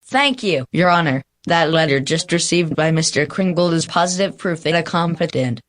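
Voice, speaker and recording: Theatrical courtroom advocate played by a man, lightish higher pitch, confident and formal, radio drama. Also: expressive, normal speed, fairly bright tone. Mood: polite, sly. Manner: formal, emphatic oratory. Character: confident, theatrical defense lawyer. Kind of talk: radio play courtroom acting.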